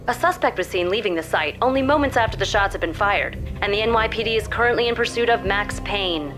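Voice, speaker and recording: A woman's voice: mid-range voice, close to an everyday voice. Actress as a formal female news anchor. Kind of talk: broadcast news reading.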